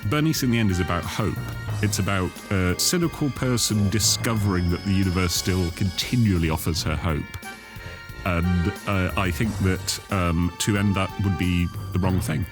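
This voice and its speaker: A man's voice: deep voice, normal speed, music in the background. A man speaking thoughtfully and reflectively as he is interviewed for a documentary.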